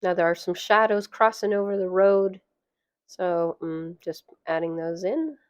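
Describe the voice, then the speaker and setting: A woman narrating a watercolor painting tutorial, hoarse and losing her voice, soft-spoken and chatty. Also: on the low side, measured pace, no room sound, studio quality. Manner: soft-spoken, chatty, with fillers and light laughs. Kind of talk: relaxed voice-over tutorial.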